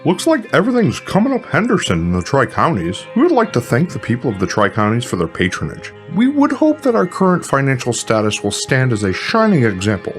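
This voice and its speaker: Very animated, pompous weather huckster played by a man. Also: medium pitch, brisk, hardly any pauses, faint music behind. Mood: pompous, cheerful. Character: pompous, eccentric male TV weather entrepreneur. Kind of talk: satirical broadcast pitch.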